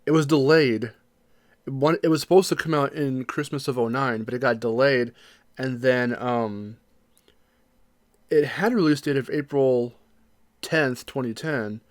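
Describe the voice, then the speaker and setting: A man's voice: mid-range voice, steady tone, unhurried, long pauses, no room sound. Man chatting on a podcast, dry close sound. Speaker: podcast co-host. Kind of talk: casual podcast discussion.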